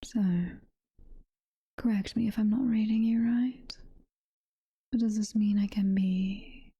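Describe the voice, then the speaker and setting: A woman playing a doting, possessive demon mommy girlfriend in an ASMR roleplay, whispering softly and tenderly with a British accent. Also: mid-range voice, no room sound, full character voice. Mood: playful, hopeful. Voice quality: breathy, soft-spoken whisper. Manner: slow, tender, coaxing. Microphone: close ASMR microphone.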